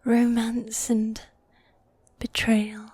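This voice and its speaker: A woman voicing a needy, dominant goth demon girlfriend in a soft, breathy, coaxing whisper, in a medieval fantasy ASMR roleplay. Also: on the high side, monotone, dry recording, exaggerated voice. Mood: coaxing, tender. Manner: affectionate, coaxing, drawn-out genteel phrasing.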